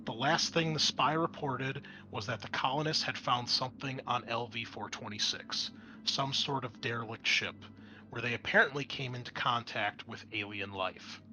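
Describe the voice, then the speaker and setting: A man speaking as game master, explaining and narrating a tabletop roleplaying scenario in a measured, explanatory way. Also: mid-range voice, sharp tone, low-quality recording. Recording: clear background noise.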